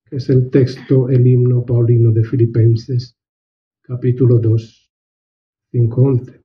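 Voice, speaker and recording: A man giving a reflective book presentation, talking in a measured, earnest way with restarts and drawn-out words. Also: medium pitch. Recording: dull lo-fi recording.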